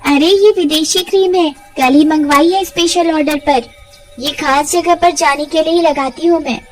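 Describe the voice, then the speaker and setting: A woman's voice: high-pitched, fairly even intonation, normal speed, soft background music, natural voice. A woman playing a sly witch who poses as a polite beautician in a story. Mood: slyly reassuring.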